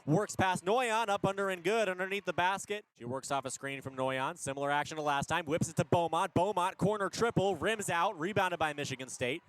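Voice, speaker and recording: A man doing energetic live radio basketball play-by-play in punchy short phrases, rising on big plays. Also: high voice, fairly quick, few pauses. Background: basketball arena ambience.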